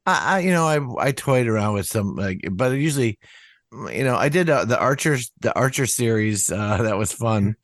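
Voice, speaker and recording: A man's voice: medium pitch, lively intonation, moderate pace, dry recording, studio quality. An older man, a musician guest, chatting casually in an interview with lots of restarts and asides. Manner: rambling, many restarts and asides.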